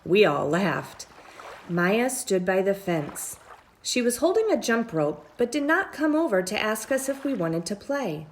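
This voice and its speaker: A woman reads a children's story aloud at a slow, measured pace. Her pitch moves up and down with lots of expression as she draws out phrases. There is faint noise on the recording. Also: sing-song. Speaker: storybook reader.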